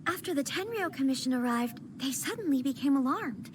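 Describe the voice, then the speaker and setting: An actress voicing a gentle young noblewoman in a video game, soft-spoken, earnest and hesitant, with game music behind her. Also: high-pitched, lively intonation, a little fast, noisy background. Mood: worried. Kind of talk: game voice acting.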